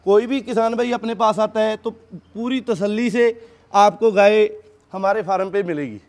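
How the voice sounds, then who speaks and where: A man selling dairy cattle, giving an informal pitch and respectfully addressing the listener as sir again and again. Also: moderate pace. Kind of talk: informal sales pitch to camera. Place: dairy farm.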